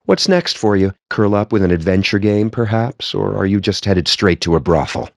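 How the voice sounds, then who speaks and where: An actor playing a cocky, foul-mouthed, nerdy poker player in a video game, with a sardonic, smug and theatrically wordy delivery. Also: male voice, on the low side, lively intonation, normal speed, vocal fry, dry recording, clean studio sound. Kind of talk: video game character banter.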